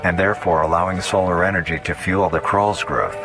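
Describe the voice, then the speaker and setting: Very deep-voiced man narrating a formal documentary. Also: fairly even intonation, over music. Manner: formal, clinical, measured. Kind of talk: scripted documentary-style horror narration.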